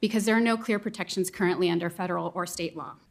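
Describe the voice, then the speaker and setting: A woman's voice: fairly low pitch, fast talker, gravelly. A woman speaking as a consumer advocate in formal public testimony.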